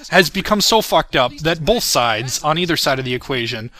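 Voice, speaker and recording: A man's voice: a little high, animated, moderate pace, pushed and bright. A man giving a casual, rambling commentary as the creator of a show, candid, self-deprecating and freely profane, often chuckling as they talk. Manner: chuckles often while talking. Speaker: creator recording a commentary on own parody series.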